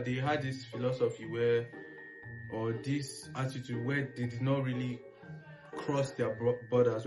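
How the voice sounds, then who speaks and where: A man's voice: mid-range voice, poor audio. A man with a Nigerian accent speaking as a panelist in a casual, educational discussion, with a reflective, explanatory manner. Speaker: panelist on a history discussion series. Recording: music playing behind the voice.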